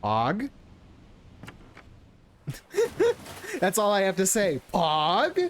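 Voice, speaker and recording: A man's voice: high voice, big pitch swings, drawn-out words, a bit bright, slight background noise. A man giving casual live commentary as a game streamer, informal and joking, sometimes reading on-screen text aloud.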